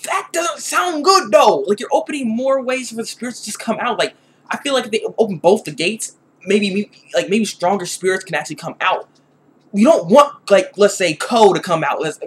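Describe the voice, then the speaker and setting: Guy doing a casual review vlog, talks real fast and slangy, bit of background noise. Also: high voice. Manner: rambling, repeats phrases, slangy. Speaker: YouTube reviewer. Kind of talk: casual off-the-cuff episode review.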